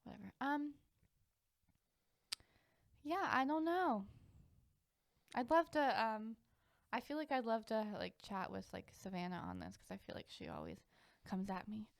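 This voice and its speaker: A woman's voice: on the high side, big pitch swings, measured pace, creaky, pauses often, dry recording. A woman in her twenties chatting casually as a podcast co-host, informal and often interrupting herself with "like.". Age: 20s. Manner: informal, self-interrupting, uses "like" constantly. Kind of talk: casual chat.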